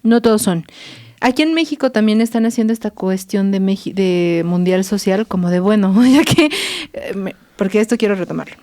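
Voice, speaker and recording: A woman's voice: mid-range voice, brisk, a little mellow. A Mexican woman co-hosts a laid-back talk show. She speaks chattily and teasingly, often leaving thoughts half-finished before picking them up again. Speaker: co-host. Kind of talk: casual panel chat.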